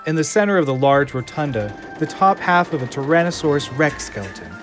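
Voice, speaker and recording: A man's voice: a little high, expressive, a little fast, faint music behind. A man reading scripted narration as the narrator of an audio drama.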